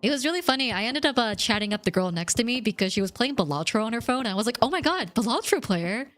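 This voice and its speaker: A woman streamer chatting casually and thanking viewers, her voice slightly rough from a recent cough. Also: on the high side, animated, fast talker, fairly bright tone, faint music behind. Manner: chatty, rambling, reads chat and thanks subscribers. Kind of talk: casual livestream chat.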